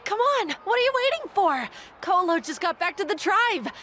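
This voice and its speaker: A woman voicing an excitable young tribeswoman eager to see a famous guide. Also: extremely high pitch, normal speed, a little hiss or hum, exaggerated voice. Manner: eager, urging.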